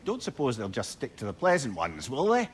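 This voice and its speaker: Wry old Scottish sidekick, talkative and colloquial, swinging pitch, game cutscene. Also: male voice, huge pitch swings, a little fast, a little hiss or hum. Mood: wistful, curious. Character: talkative, wry old male companion and advisor. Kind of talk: video game dramatic acting.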